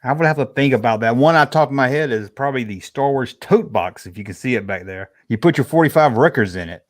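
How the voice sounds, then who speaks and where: A middle-aged man from the American South chats as a guest on a livestream, with a clear Southern accent. His talk is folksy and colloquial, in a medium-pitched voice, and the sound is close and dry. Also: expressive, quick pace, no echo. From Georgia, US South. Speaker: livestream guest, toy collector. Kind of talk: casual chat, answering viewer questions.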